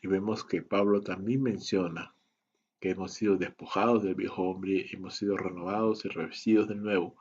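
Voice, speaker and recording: A man with a very low, husky voice gives a slow, careful Bible lesson. He walks through points one by one and poses questions to the listener. The recording sounds a little dull and muffled. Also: fairly even intonation, darkish tone. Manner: measured, list-like delivery with rhetorical questions. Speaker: Bible study teacher. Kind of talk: slow, deliberate teaching lecture.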